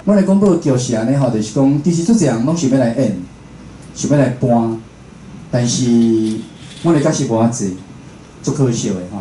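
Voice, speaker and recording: A man's voice: medium pitch, moderate pace, mellow. A man with a Taiwanese accent giving an informal, chatty public talk, often closing his phrases with a small confirming tag. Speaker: radio host and programme producer giving a talk. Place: indoor talk venue with a seated audience. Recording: roomy echo, faint background noise.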